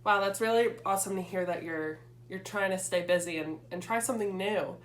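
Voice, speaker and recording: A woman's voice: mid-range voice, brisk, a little hiss or hum. A young woman interviewing a fellow student in a casual, supportive way, asking follow-up questions.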